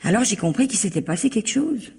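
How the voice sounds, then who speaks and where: An actress playing a cheeky, chatty twenty-two-year-old housemaid, defensive and plain-spoken, in an old French radio mystery. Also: female voice, mid-range voice, lively intonation, fast, a little mellow, breathy edge. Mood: gossipy storytelling. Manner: colloquial, chatty, naive frankness.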